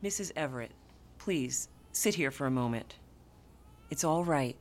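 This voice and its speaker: An actress playing a calm, controlled hospital nurse in charge in a radio drama, speaking in a measured, formal, clinical way. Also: female voice, rumbling low. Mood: firm, soothing.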